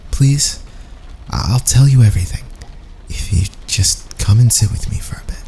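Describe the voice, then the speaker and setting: Male actor as a teasing young fantasy prisoner, intimate ASMR, low creaky voice, breathy playful asides, unhurried, faint background noise. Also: warm tone. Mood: pleading, warm. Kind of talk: intimate ASMR boyfriend roleplay.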